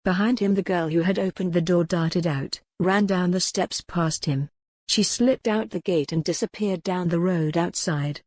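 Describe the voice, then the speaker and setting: A woman narrates a historical romance audiobook in a fairly low register. Her delivery is scripted dramatic storytelling, recorded dry with hardly any room sound. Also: moderate pace, a little mellow, no echo.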